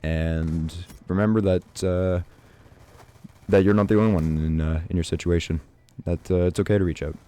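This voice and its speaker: A teenage guy from Canada, sick and stuffed up with a raspy voice, talking through his uncertain future in a hesitant, reflective vlog with many restarts. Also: low-pitched, fairly even intonation, moderate pace, dark tone. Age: teens. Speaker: YouTuber.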